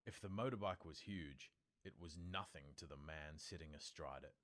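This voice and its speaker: A man's voice: rumbling low, normal speed, fairly bright tone. A man reading scripted storybook narration as an audiobook narrator.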